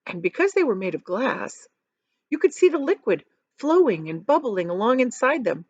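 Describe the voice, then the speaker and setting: Expressive woman reading a storybook aloud. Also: fairly high pitch, moderate pace, husky, no echo, dull audio. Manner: explanatory, addresses young listeners, emphatic on descriptive words. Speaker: teacher reading aloud to children. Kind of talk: expressive storybook read-aloud with casual asides.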